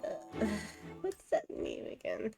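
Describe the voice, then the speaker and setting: Casting: female game streamer, casual and hesitant, unhurried pace, music playing behind the voice. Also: a little mellow. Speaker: live streamer.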